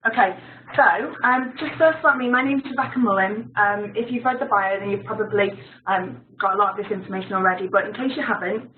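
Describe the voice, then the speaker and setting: A woman's voice: mid-range voice, brisk. An upbeat British woman introduces herself in a chatty webinar talk, dotted with ums. Her voice rings in a roomy space and comes through a thin, phone-like line with faint hiss. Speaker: clinical research physiotherapist. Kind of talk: webinar presentation. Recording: echoey room over a phone-like line.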